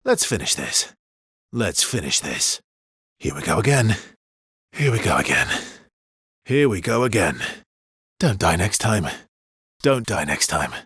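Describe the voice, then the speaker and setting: A man voicing a weary, battle-hardened immortal swordsman in a video game, with a husky, breathy voice and terse, intense, dramatic delivery. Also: sits low, a bit bright, no echo, clean studio sound, heavily acted. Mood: wry, weary. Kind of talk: video game combat barks.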